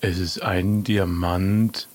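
A man voicing a measured stranger who guards a mountain path and loves riddles, speaking deliberately with drawn-out words. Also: low voice, flat delivery, a little mellow. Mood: calm, deliberate. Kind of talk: improvised audio adventure role-play.

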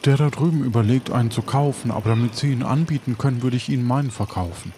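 A man's voice: mid-range voice, fast talker, dark tone, a little hiss or hum, lightly acted. A man playing a polite pirate adventurer with a hesitant, rambling way of talking in an improvised adventure audio play. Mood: haggling. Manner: hesitant, rambling, repeating words.

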